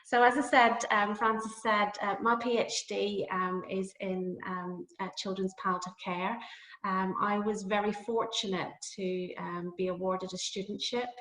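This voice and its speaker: A woman's voice: mid-range voice, steady tone, unhurried, a bit bright. A middle-aged woman with a Northern Irish accent gives an informal webinar presentation as a guest lecturer, chatty and a little hesitant, speaking over a video call. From Northern Ireland. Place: office or home, on a video call. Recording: roomy, echoing webinar audio.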